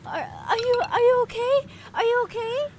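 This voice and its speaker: A woman playing a gentle, teasing vampire wife in an audio roleplay. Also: extremely high pitch, normal speed, recorded from a distance, background noise. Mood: alarmed. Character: sweet, playful female vampire, doting wife.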